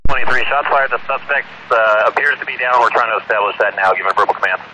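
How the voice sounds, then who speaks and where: A man's voice: mid-range voice, steady tone, fast, pushed and bright. A man working as a police field officer, giving clipped tactical updates over a radio. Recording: noisy police radio scanner transmission.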